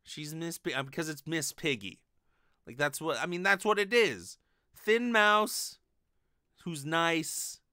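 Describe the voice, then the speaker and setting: Man, late twenties, comedy podcast host, clear animated voice, casual, sweary, rambling, dry close-mic sound. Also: a little high, slow pace, no room sound. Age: late 20s. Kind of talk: casual comedic monologue, answering listener mail. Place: small bedroom, indoors. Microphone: close condenser microphone on desk with pop filter.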